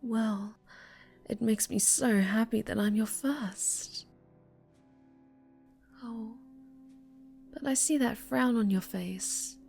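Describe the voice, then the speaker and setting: Girl doing a creepy sweet yandere superheroine for asmr, real slow n breathy, whispery, possessive, soft music behind. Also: fairly high pitch, steady tone. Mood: sweet, teasing. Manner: breathy cooing that slips into whispers and soft menace. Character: obsessive female superhero captor, sweetly possessive and sinister. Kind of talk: ASMR roleplay. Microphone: close microphone.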